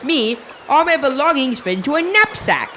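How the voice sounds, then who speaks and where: A girl acts a timid, superstitious schoolmaster in a school radio drama. The voice is fairly high and bright, over faint noise. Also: very animated, normal speed, heavily acted. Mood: nervous, earnest. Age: young teenager. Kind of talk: school radio play acting.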